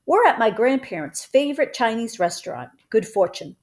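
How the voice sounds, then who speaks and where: A woman in her forties reads a children's story aloud like a teacher. Her pitch moves in a lively way, and her delivery stays conversational, recorded on a webcam in a small room at home. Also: moderate pace. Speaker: teacher reading a book aloud. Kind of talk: children's book read-aloud.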